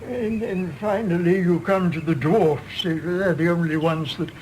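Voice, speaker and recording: A man's voice: high-pitched, measured pace, darkish tone, a little hiss or hum, low-quality recording. An actor playing a frail, confused old uncle in a radio drama, faltering and querulous as he talks. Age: elderly.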